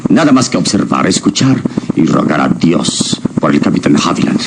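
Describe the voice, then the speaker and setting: Noble solemn radio hero, super low gravelly voice, loud noisy old recording. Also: male voice, sing-song, full character voice. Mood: grave, helpless. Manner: solemn, measured. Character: noble, grave, compassionate male adventure hero. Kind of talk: radio drama acting.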